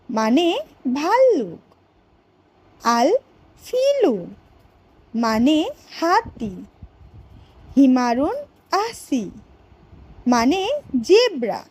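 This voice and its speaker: A woman voicing a cartoon schoolteacher who teaches vocabulary to young pupils in a deliberate, word-by-word way. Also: high-pitched, darkish tone, full character voice, rough recording. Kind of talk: educational cartoon vocabulary lesson.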